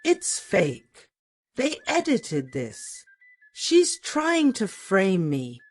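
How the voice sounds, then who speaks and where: A woman's voice: medium pitch, wildly swooping pitch, extremely slow, heavily acted. A woman plays a scheming teenage schoolgirl in a narrated story. The girl is smug, boastful and defiant, with swooping intonation over faint music. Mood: defensive.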